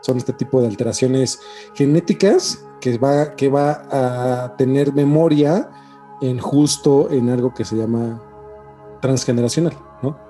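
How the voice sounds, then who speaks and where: A man's voice: medium pitch, unhurried, mellow. A man with a Mexican accent co-hosting a casual podcast, often checking for agreement with little tag questions. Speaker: podcast co-host. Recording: music playing behind the voice. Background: music.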